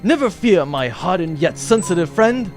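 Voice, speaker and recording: A man's voice: high voice, very animated, moderate pace, soft background music. A man playing a jaunty, pompous space adventurer captain in a comedic radio play, with a theatrical, grandiose delivery. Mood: jaunty, grand.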